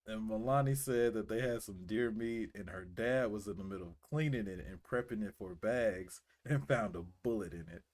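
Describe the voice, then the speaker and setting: Low-voiced male talk show host. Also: normal speed, hardly any pauses, darkish tone. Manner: laid-back, short backchannels and questions. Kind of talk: casual interview chat.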